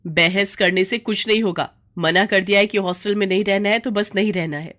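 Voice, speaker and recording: A woman's voice: mid-range voice, fairly even intonation, a little fast, fairly bright tone, natural voice. Woman playing a strict, scolding mother. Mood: stern, scolding. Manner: scolding, commanding. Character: strict disapproving mother, middle-aged, firm and bossy.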